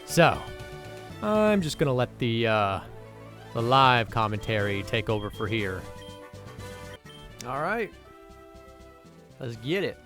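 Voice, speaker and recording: A young man hosting a let's play with casual commentary, his voice a little off from allergies, who swears and rants in sudden excited bursts. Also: medium pitch, slow, pauses often, faint music behind. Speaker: gaming video host.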